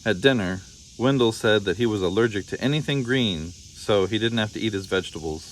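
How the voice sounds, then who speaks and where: A man with a fairly low voice reads a children's story aloud. The narration is expressive and scripted. A faint background noise runs under the recording. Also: brisk, low-quality recording. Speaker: storybook reader.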